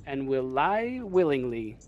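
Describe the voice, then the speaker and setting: A man's voice: mid-range voice, big pitch swings, measured pace, lo-fi sound. A man voicing a proud, solemn and easily offended living teddy bear in a tabletop game. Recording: noisy room recording.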